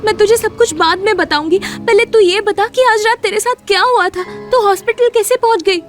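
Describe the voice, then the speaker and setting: A woman's voice: very high-pitched, normal speed, soft background music. A woman playing a timid, curious and caring young woman in an audio drama, with a wide-eyed, earnest manner. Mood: concerned. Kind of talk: Hindi audio drama acting.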